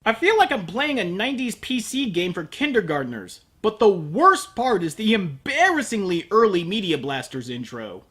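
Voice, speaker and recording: A man's voice: high voice, sing-song, normal speed, few pauses, pushed and bright, husky. A man delivering a scripted comedic review as a show host, with a sardonic, emphatic manner.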